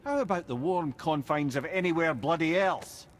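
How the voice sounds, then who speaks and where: An actor playing the witty talking head of a wise old storyteller in a video game, eloquent and theatrical with wry asides. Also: male voice, fairly high pitch, moderate pace, close to an everyday voice. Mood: wry, conversational.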